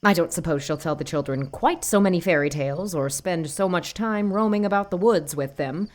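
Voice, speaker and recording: A woman's voice: fairly low pitch, fairly quick, a little mellow, lightly acted. A woman playing a stiff, opinionated married village gossip, disapproving and emphatic, in an audiobook. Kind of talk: audiobook character acting.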